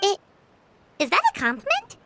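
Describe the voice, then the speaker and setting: A tiny, excitable fairy girl from a video game, with an extremely high, squeaky voice that bounces around animatedly. She is cheeky and blunt, over loud music. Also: moderate pace, heavily acted. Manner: squeaky, blunt, refers to self by name.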